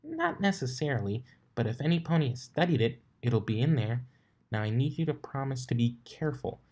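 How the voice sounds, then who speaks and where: A man's voice: mid-range voice, big pitch swings, fairly quick, darkish tone, voice pushed up. Storybook unicorn mare, male reader: young, scholarly, careful and hesitant, voice lifted above a man's normal talk. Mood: cautious, earnest. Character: bookish young female unicorn, fussy and cautious.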